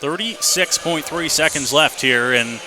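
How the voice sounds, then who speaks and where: A male radio announcer with a fairly high voice calls a hockey game live. He describes play in short running phrases that can rise into excited shouts. The noise of the rink is clearly heard behind him. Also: a little slow. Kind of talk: live radio sports commentary. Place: indoor hockey arena. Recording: noisy live arena broadcast. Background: loud rink ambience.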